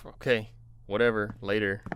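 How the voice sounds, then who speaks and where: A man's voice: lowish voice, little pitch movement, moderate pace, heavy background noise, close to an everyday voice. An actor playing a frustrated young man who pushes his friend to go out, his voice bursting upward when upset. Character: exasperated young male friend, pushy. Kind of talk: audio drama dialogue.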